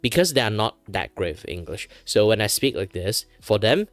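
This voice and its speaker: Man, 30s, from Malaysia, native Chinese speaker, casual chatty streamer, lively pitch, dry close sound. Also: normal speed, no echo, soft background music. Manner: chatty, filler-heavy, laughs often. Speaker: streamer and aspiring voice actor. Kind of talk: casual chat while drawing on stream.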